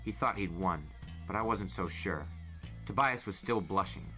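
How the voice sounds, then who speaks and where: Male storyteller for novel narration. Slightly low, forceful, lively delivery over soft music. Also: normal speed. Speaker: podcast host reading a novel. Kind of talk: animated audiobook-style first-person narration.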